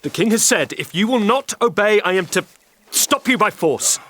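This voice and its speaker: An actor playing a stern, exasperated noble commander in an audio drama. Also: male voice, high voice, expressive, normal speed, fairly bright tone. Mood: firm, exasperated.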